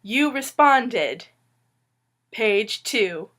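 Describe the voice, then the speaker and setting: A woman's voice: on the high side, expressive, drawn-out words, fairly bright tone. Sick, rambling woman artist. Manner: loopy, rambling, self-mocking. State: sick with a cough. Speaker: webcomic artist sharing tips. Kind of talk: casual tutorial talk to viewers. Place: indoors at a wooden table.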